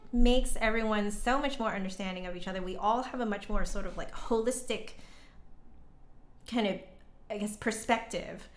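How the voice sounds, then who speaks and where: A woman's voice: medium pitch, fairly bright tone. A woman hosting a livestream, talking casually and reflectively with her chat in an informal, conversational way. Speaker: livestream host and flutist.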